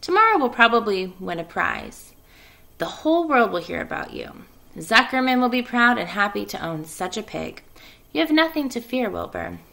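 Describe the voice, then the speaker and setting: A woman voicing a tired, gentle female spider in a storybook, reassuring and wise, in a low, calm, hushed voice. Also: moderate pace, creaky, heavily acted.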